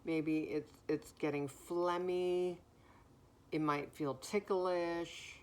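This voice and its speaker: A woman giving a spiritual message in a gentle, soothing way, with a naturally deep voice that is somewhat hoarse. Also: steady tone, slow pace, slight background noise. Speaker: spiritual card reader. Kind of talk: spiritual message, slow and reflective.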